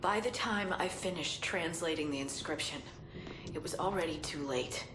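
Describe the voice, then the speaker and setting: A woman's voice: deep voice, moderate pace, noisy background, lightly acted. An actress playing a haunted, defiant woman scientist with psychic powers, guarded and bitter, in a dramatic video game cutscene. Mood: sardonic, cryptic. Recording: roomy echo under loud music.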